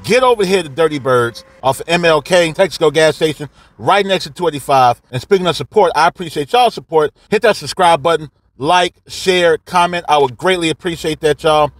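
A man's voice: fairly high pitch, a little fast, hardly any pauses, dry recording. A man, giving a casual, slangy and profane food review while eating in a parked car. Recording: clear background noise in a car.

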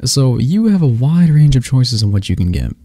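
A man's voice: medium pitch, very animated, moderate pace, warm tone, no echo. A man casually presenting a sponsor read, informal and chatty in his phrasing. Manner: informal, tags phrases with "right?". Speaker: ASMR creator presenting a sponsor.

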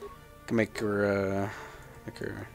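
A young male gamer talks casually over his game. His voice is low and creaky, with music behind it. He rambles irreverently and swears freely. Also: monotone, slow pace. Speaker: gamer, let's player. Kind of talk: casual gameplay commentary.

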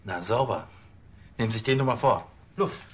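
An actor playing a self-important police chief, bossy yet hesitant and fussy. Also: male voice, lowish voice, normal speed, a little hiss or hum, lightly acted. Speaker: actor in TV crime comedy.

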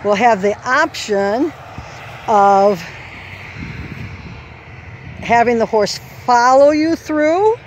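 A woman walking an outdoor horse course with her phone and explaining each obstacle in an unhurried way, now and then correcting herself. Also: on the high side, animated. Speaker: course designer giving a walk-through. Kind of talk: instructional course walk-through. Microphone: handheld phone camera. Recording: faint outdoor noise, sometimes far from the mic.

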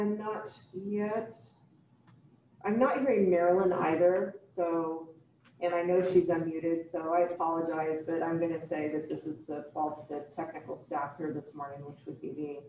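A woman working as a city staffer at a meeting, speaking in a halting, apologetic way from an echoey room. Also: low-pitched, dark tone. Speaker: city staffer running the meeting's video call. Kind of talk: informal meeting updates. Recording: echoey room, distant mic, muffled, noisy.

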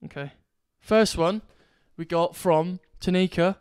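A young man with a slightly raspy voice, co-hosting a casual podcast, teasing and laughing often. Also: high voice, slow pace. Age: young adult. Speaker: podcast co-host. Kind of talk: casual banter. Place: small home room. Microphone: studio mic on boom arm.

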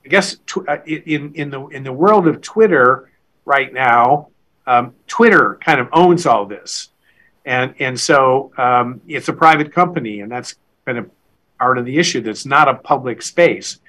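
An older man speaks over a video call from a book-lined study at home. His voice is bright and forward, and he thinks aloud with many stops and restarts, feeling his way through an idea. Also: mid-range voice, animated, measured pace. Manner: hesitant, many fillers and restarts while brainstorming. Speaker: interviewer. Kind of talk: academic conversation.

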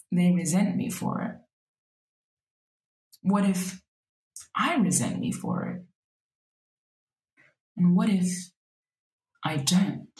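A woman in her thirties, a native Arabic speaker, reading her own poem aloud in a slow, measured, reflective way at a desk webcam in a small room. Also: on the low side, darkish tone, reverberant, low-quality recording. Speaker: poet. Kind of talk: spoken word poetry reading.

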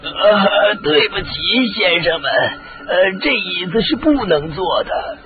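A man's voice: high voice, animated, slow, narrow, tinny audio. A man acting in a radio drama as a polite, fussy event staffer who speaks hesitantly. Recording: band-limited audio, faint music.

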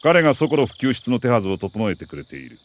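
An actor playing a middle-aged army major who briefs an agent in a crisp, authoritative, deliberate way in a video game. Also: male voice, mid-range voice, very animated, a little hiss or hum, lightly acted. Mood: grave, commanding. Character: male military major, mission commander, precise and earnest. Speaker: mission commander briefing an agent.

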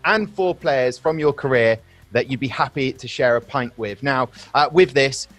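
Thirties male presenter, mid-pitched, chatty and upbeat, video call. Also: normal speed, a bit bright, soft background music. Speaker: host. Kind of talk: casual video-call interview.

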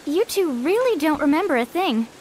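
A woman's voice: high-pitched, sing-song, moderate pace, a little mellow, a little hiss or hum. A woman playing a composed, knowing, mysterious young woman in a video game fandub, cryptic and assured. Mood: amused.

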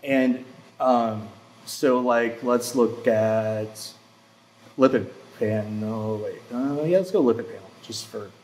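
A man's voice: medium pitch, slow, a little mellow, low-quality recording. A man in his forties hosting a local TV talk show, chatting casually with many fillers and asides, recorded on a lapel mic in a studio. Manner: chatty, self-deprecating, lots of fillers. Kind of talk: casual chat. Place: TV studio set.

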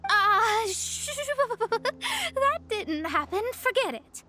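Young female astrologer, very high pitch, haughty teacher manner, anime game delivery, faint music. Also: very animated, extremely slow, bright, forceful tone, exaggerated voice. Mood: flustered. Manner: haughty, instructive.

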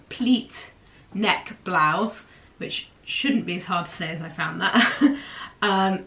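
A woman in her thirties whose voice sounds nasal and bunged up, slightly low-pitched but bright and forceful. She talks casually and eagerly to a camera in a small room at home, gesturing as she goes. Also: a little hiss or hum. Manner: enthusiastic, gushing, gestures a lot with her hands. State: nasal and bunged up from hay fever. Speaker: host of a sewing pattern show. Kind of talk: casual chatty review to camera.

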